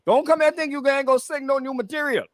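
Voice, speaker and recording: A man's voice: very high-pitched, fast talker, dry recording, studio quality. A man with a Bahamian accent co-hosting casual radio banter, colloquial and repeating phrases for emphasis. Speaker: radio co-host. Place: radio studio.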